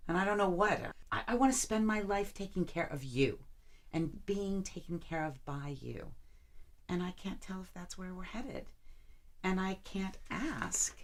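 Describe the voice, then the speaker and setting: An actress playing a lovesick, anxious and reproachful lover in a naturalistic audio drama, halting and emotional. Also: female voice, mid-range voice.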